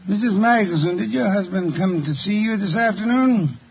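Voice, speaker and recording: An actor playing a shrewd, imperious, eccentric detective in a 1950s radio drama, speaking in a deliberate, formal, probing way. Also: male voice, sits high, gravelly, slightly rough, exaggerated voice. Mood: courteous, probing. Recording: dull, hissy 1950 radio recording.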